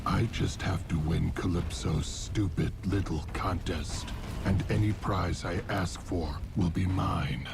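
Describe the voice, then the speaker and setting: An actor playing an obsessive, murderous killer clown in a video game cutscene, brooding and menacing with a gravelly voice. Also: male voice, deep voice, fairly even intonation, full character voice. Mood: brooding menace. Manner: slow, menacing, brooding monologue. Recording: loud music under the voice. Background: loud cinematic game music.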